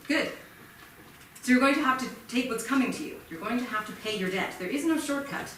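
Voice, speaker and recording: A woman in a staged play reading playing a stern, formal high school principal with a measured, admonishing, officious manner. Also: lively intonation, fairly bright tone. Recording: echoey theatre, distant mic, faint noise.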